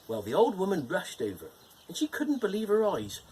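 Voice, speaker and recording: A man's voice: high voice, moderate pace, slight background noise. A man playing a cheerful, playful male gnome who hosts and reads a bedtime fairytale. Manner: animated, playful storytelling with teasing jokes. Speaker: storyteller host.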